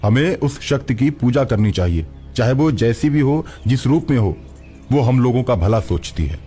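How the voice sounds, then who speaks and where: A man voicing a reasoning, reverent village headman who deliberates earnestly in a cartoon story. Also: medium pitch, lively intonation, dark tone, soft background music, natural voice. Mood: thoughtful, reverent.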